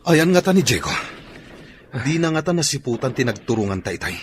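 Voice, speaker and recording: A man's voice: mid-range voice, sing-song, a little fast, a little hiss or hum, close to an everyday voice. A man with an Ilocano accent playing a tense soldier answering to his commander in a radio drama. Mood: worried. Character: tense, obedient male soldier under a commander.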